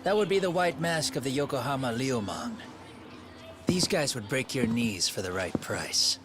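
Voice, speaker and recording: A cocky street fixer from a video game cutscene, acted by a man. He talks in slang with a taunting swagger, like someone who owns the turf. Very loud music plays over his voice. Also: medium pitch, expressive, moderate pace, close to an everyday voice. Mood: sly, probing. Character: cocky, streetwise male handyman, tough fixer.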